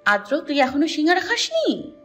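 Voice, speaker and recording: A woman acts a scheming, huffy young woman in an audio romance. Her pitch runs a little high and moves in lively swings. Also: normal speed, faint music behind. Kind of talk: audio story drama.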